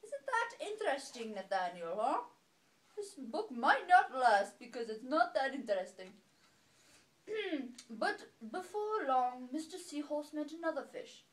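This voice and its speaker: A woman with a high, very animated voice talks to a small child during a read-aloud. Her delivery is playful and sing-song, moving casually between the story and chat. It sounds like a simple home recording. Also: unhurried. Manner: playful, sing-song child-directed talk with dramatic gasps. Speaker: caregiver reading to a small child.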